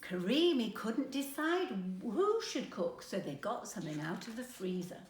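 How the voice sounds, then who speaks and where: A woman's voice: fairly high pitch, very animated, normal speed, roomy sound, a little hiss or hum. A woman narrating a children's storybook aloud as a storyteller. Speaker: storyteller, grandmother.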